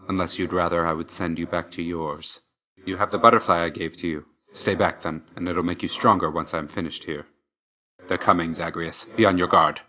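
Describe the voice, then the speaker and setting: A man's voice: sits low, sharp tone. A man voicing a stern, brooding young god of death in a video game, speaking in a curt, restrained, solemn way with dry understatement. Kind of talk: scripted video game voice lines.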